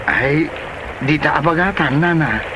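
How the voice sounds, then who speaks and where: A man's voice: a little high, a little slow, close to an everyday voice. A man playing a polite, bashful young job seeker in a comedy drama, hesitant and stammering, with a Filipino Ilocano accent. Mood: bashful, respectful. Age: young adult. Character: polite, bashful young job-seeking mechanic from a poor family. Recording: very noisy location sound.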